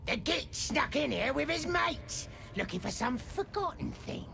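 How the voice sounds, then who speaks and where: An actor voicing a crude, menacing goblin torturer in a video game, rough and taunting in manner. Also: sits high, sing-song, unhurried, pushed and bright, faint music behind, full character voice. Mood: scornful.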